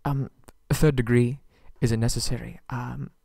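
A man's voice: mid-range voice, steady tone, unhurried, vocal fry, warm tone, no room sound. A man playing a haughty but frightened young kidnapped prince in an ASMR roleplay audio drama, stammering and pleading, close on the mic. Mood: nervous, placating.

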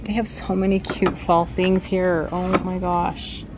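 A woman's voice: fairly low pitch, level tone, a little slow, background noise. A middle-aged American woman chatting casually to viewers, informal and thinking aloud as she goes. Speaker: family vlogger.

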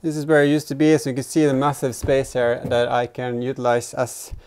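Guy in his 30s doing diy car vlog, smooth clear voice, halting, casual, thinking out loud as he works. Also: medium pitch, level tone. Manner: halting, thinking aloud while working. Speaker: host of a DIY vehicle build vlog. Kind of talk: casual hands-on workshop narration. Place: indoor garage workshop, in and around an open car.

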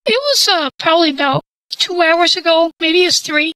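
Prank caller, a man playing a coy woman through a voice changer, very high and bright, dry close sound. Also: moderate pace, no echo, heavily acted, rough recording. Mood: polite. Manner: coy, scolding, calls people pet names. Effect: voice-changing software. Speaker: scambaiter. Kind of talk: prank call.

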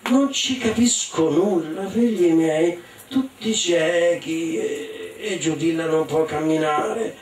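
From across an echoey room comes a man acting a dim, drunken father from a storybook. He is weary and dismayed, dragging out his words in a halting mutter. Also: on the high side, a little mellow, soft background music. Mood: bewildered. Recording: echoey room, distant mic, faint noise.

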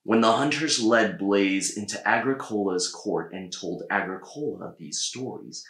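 Male storybook narrator, thirties, lowish voice, deliberate phrasing, recorded in an echoey room. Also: fairly bright tone. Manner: measured, deliberate phrasing with pauses. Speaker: storybook reader. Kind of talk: read-aloud children's story narration. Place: small indoor room with bookshelves.

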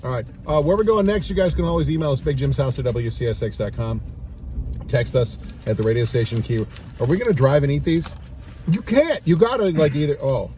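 A man in his forties hosting a casual food review from a parked car, loud, excitable and jokey. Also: on the high side, a little fast. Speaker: radio host.

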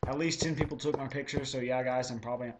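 Hoarse voice, teenage boy, rambling game commentary, noisy background hiss. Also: medium pitch, steady tone, fast talker. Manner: rambling, chatty, self-interrupting. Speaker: video creator. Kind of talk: casual gameplay commentary. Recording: noisy home recording.

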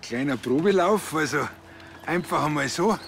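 A man's voice: fairly high pitch, expressive, moderate pace, gravelly, a bit bright, a little hiss or hum. Grumbling country policeman. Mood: sheepish, probing. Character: grumbling, cheeky, clumsy male country policeman. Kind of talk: TV comedy acting.

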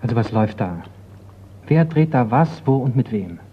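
An actor playing a persistent, probing insurance investigator in a radio crime drama. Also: male voice, mid-range voice, animated, normal speed, close to an everyday voice. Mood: insistent. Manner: probing, insistent. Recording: dull, noisy radio play recording.

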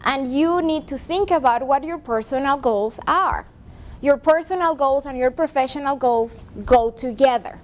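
A woman's voice: high-pitched, moderate pace. A woman in her forties presenting a professional development workshop to a seated class, talking conversationally and often checking in with "right?". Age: 40s. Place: classroom with a seated audience, projector screen. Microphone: far room camera.

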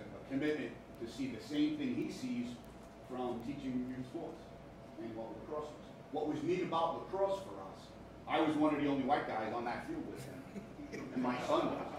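Queens New York man in his 50s, guest engineer talking to students, chatty, keeps asking "okay?", picked up from far back in a big classroom. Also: medium pitch. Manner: conversational, keeps checking in with "all right?" and "okay?". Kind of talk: informal talk to students. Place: large classroom, indoors. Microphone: far room camera. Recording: echoey classroom from far off, noisy.

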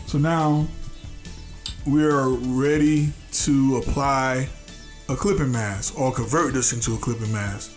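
Stuffy-nosed guy walking you thru a drawing app, mid pitch, lots of ums, faint music under him. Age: adult, a father. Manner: loose and repetitive, restarting phrases, many fillers. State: congested, nasal. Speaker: art tutorial host. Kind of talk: casual screen-recorded drawing tutorial.

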